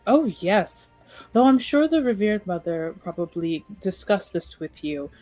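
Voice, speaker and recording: A woman's voice: medium pitch, sing-song, unhurried, mellow, no room sound, soft background music, poor audio. A woman playing a coy, gossipy masked lady in an improvised tabletop roleplay, with a theatrical, faux-scandalized manner.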